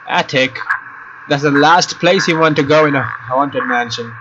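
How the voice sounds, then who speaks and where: A man doing a let's play, reading visual novel narration aloud in a casual, expressive way with informal asides. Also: fairly high pitch, unhurried. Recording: dull lo-fi mic, faint noise.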